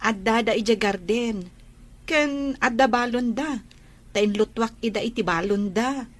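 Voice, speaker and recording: A woman as a high-voiced young Ilocano countrywoman. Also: unhurried. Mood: chatty, hopeful. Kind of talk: Ilocano radio-style drama acting.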